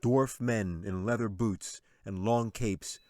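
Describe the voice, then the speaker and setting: A man with a very low voice narrates a fantasy tale in a measured, dramatic storytelling style. The sound is dry and close, like a studio audiobook. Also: fairly even intonation, darkish tone, no room sound. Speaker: author reading own audiobook.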